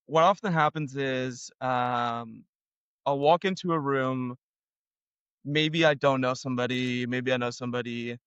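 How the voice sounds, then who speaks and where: A young man from Argentina, a podcast guest telling a story in a relaxed, self-deprecating way with lots of fillers. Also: mid-range voice, clear, resonant tone, dry recording, highs cut off. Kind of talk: casual storytelling.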